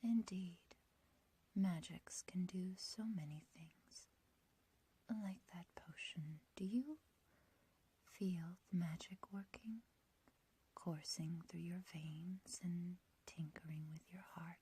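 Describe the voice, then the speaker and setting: A woman's voice: on the low side, slow pace, dark tone, full character voice. A woman playing an ancient but youthful witch in a whispered ASMR roleplay, obsessively loving and possessive, with archaic, intimate phrasing. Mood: adoring, possessive. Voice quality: breathy, whispery.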